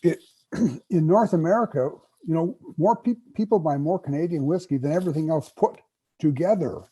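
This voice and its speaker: A man talks as an invited whisky expert in a relaxed interview, his voice slightly higher than most men's, soft-edged and breathy. He is emphatic about his subject and clears his throat every so often. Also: moderate pace, rough recording. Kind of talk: casual interview talk.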